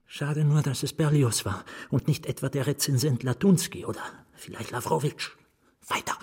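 A breathy, secretive asylum patient. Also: male voice, mid-range voice, normal speed. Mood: eager, hushed. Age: middle-aged. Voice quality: breathy, often hushed. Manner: conspiratorial, probing, wry. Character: secretive, wry male asylum patient, a bitter novelist. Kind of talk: German radio drama acting.

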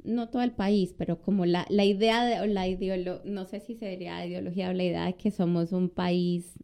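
Lawyer lady on a podcast, lowish mellow smooth voice, keeps restarting and saying am, eh. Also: steady tone, fairly quick. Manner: hesitant, many restarts and fillers. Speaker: immigration lawyer and podcast co-host. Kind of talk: casual conversational chat.

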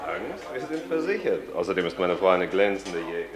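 An actor playing a dry, deadpan, self-assured society gentleman in a German radio play. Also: deep voice, fairly quick. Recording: echoey, loud music behind.

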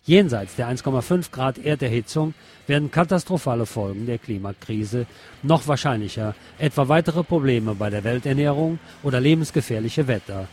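A man's voice: medium pitch, animated, normal speed, a little mellow, slight background noise. A man reading scripted news as a radio host. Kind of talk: scripted news narration over music.

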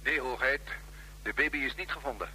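A man's voice: mid-range voice, a little fast, sharp tone, breathy edge, low-quality recording. An actor playing a deferential male aide who reports to his master in a radio drama. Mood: dutiful. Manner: dutiful, deferential.

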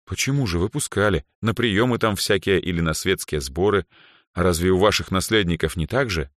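A man reads a sheltered young noble heir in a fantasy story. His voice is fairly low and close, with a calm, polite delivery and a touch of naive puzzlement. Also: brisk, no room sound.

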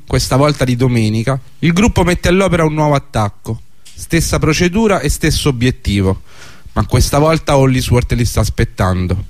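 A man's voice: medium pitch, no echo. A man reading a scripted historical narration for a radio drama.

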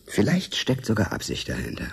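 A determined reporter in a radio play, really husky, lowish voice. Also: male voice, wildly swooping pitch, brisk, heavily acted. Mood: sober, resigned. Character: urgent, determined male investigative journalist. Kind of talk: German radio drama acting.

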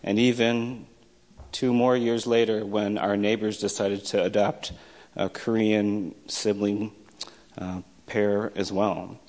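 A man's voice: on the low side, little pitch movement, slow, darkish tone. An American man telling a personal story to listeners in a wry, self-deprecating manner. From small town in the United States. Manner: wry, self-deprecating, acts out remembered dialogue. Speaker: storyteller, poet and teacher.

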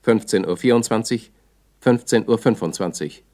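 A man's voice: on the low side, normal speed, mellow. A man announcing in a 1960s radio drama, calling out short, clipped bulletins. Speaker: second announcer calling out clock times.

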